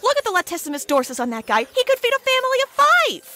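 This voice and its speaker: Casual: bright bossy young survivalist gal, super high voice. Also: female voice, huge pitch swings, fast talker, exaggerated voice. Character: perky young woman, survivalist-raised rat expert. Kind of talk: comedy audio drama.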